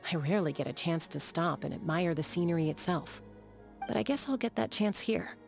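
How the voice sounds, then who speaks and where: Game role: battle-hardened young female sky gunslinger. Lower-than-average pitch, calm, matter-of-fact delivery over background music. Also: fairly quick, gravelly. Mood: relaxed, lightly wry. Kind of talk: video game character voice lines.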